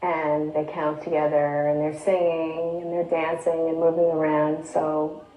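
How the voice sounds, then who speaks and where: A woman's voice: low voice, level tone, measured pace, warm tone. A woman talking conversationally, giving a parent's testimonial. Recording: dull echoey archive clip, faint noise.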